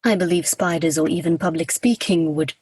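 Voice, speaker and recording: Casting: solemn Grim Reaper played by a woman, lowish and very husky, stiff formal diction, literal and reserved, for radio drama. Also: fairly quick, a little mellow. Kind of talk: radio play acting.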